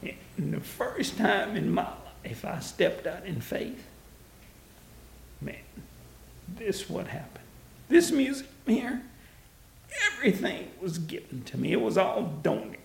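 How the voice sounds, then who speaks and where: Older texas guy, raspy strained voice, bit high, swings up and down a lot. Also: slow pace, pauses often, reverberant, rough recording. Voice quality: gravelly, strained voice. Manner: animated, laughing storyteller. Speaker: interviewee, museum founder. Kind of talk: storytelling interview. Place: his museum, indoors.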